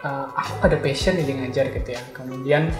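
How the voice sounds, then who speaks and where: A young man talks casually as an interview guest, explaining as he goes. His pitch is average. The room is noticeably echoey, with music clearly playing behind him. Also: fairly even intonation, fast talker. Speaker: interview guest, backend developer. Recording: roomy echo, music behind voice. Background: background music.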